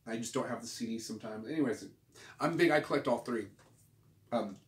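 A man's voice: medium pitch, a little fast. A man in his forties chatting casually to camera like a vlog host, with a laid-back, rambling, slangy delivery. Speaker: host of a record collecting video channel. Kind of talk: casual show-and-tell vlog chat. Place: small home room, indoors. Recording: roomy, distant mic with clear background noise.

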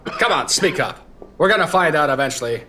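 An actor playing a drunk, disillusioned veteran newspaper reporter with world-weary noir patter in an audio drama. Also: male voice, a little high, lively intonation, a little fast, bright, forceful tone, slight background noise. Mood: worked up. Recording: faint music underneath.

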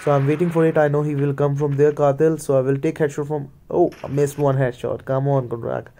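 A man's voice: a little high, little pitch movement, fairly quick, dark tone. A man with an Indian accent, a native Hindi and Punjabi speaker, giving casual play-by-play commentary as he games. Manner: mutters play-by-play, slips into Hindi. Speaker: gaming video creator.

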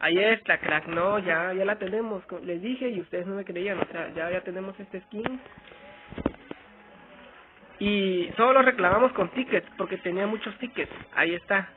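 A young man, giving casual, slangy gameplay commentary as a youtuber, excitable and repeating himself. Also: high voice, moderate pace, phone-quality sound. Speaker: gaming youtuber. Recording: game music underneath. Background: game music.

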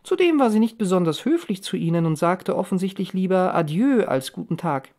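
An excited young woman played by a female audiobook reader shares village gossip. She is chatty and emphatic, her pitch lifted and bursting with eagerness to tell. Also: a little fast. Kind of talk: audiobook character dialogue.

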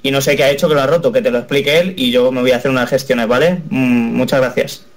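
Man acting as father, Spain accent, mid-pitched, polite, flustered, rambling, prank call. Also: fairly even intonation, fast talker, close to an everyday voice. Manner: hesitant, rambling. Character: polite, flustered father calling tech support.

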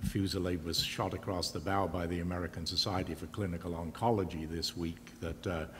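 An older man speaks from a panel table in a large auditorium, choosing formal, elaborate words at a measured pace. His voice sits very low. The sound carries a long hall reverb with faint background noise. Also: steady tone. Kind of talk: panel discussion answer.

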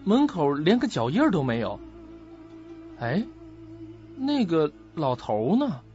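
A man's voice: fairly high pitch, wildly swooping pitch, slow pace, warm tone, heavily acted. An actor playing a troubled, protective young male student in an audio drama, on a lo-fi recording. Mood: puzzled. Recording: dull lo-fi recording with music.

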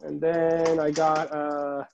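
A middle-aged man giving a casual show-and-tell tour as a collector, listing items haltingly, recorded indoors on a handheld phone. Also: on the high side, monotone, creaky, darkish tone, slight background noise. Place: room at home, indoors. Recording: dull, muffled phone audio.

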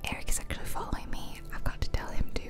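Whispering woman, ASMR game commentary. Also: rapid-fire. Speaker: host of an ASMR gaming channel. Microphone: close ear-to-ear ASMR microphone.

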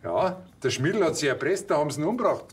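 A man's voice: a little high, sing-song, fast. A man acting as a sly village police officer with a Bavarian accent and a casual, rambling manner. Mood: probing.

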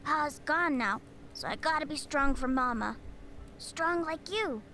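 A woman's voice: sits high, animated, a little slow, pushed and bright, slight background noise, heavily acted. A plucky folksy young boy. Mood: earnest. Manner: folksy, drops g's. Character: young male villager boy, earnest and plucky. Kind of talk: game cutscene dialogue.

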